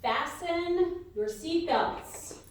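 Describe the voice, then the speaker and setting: A woman's voice: very high-pitched, expressive, very slow. A woman performing scripted comedy banter to a live audience, announcing in a mock-official way. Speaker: comedy radio show performer and co-host. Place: live stage in a hall. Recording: very echoey hall, distant mic, faint noise.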